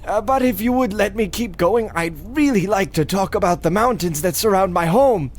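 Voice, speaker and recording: Man playing a high-voiced, flustered young mad scientist. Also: moderate pace. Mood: flustered, rambling. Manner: flustered, evasive, dramatic, rambling. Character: nervous, rambling young mad scientist who made a monster. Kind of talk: parody radio play acting.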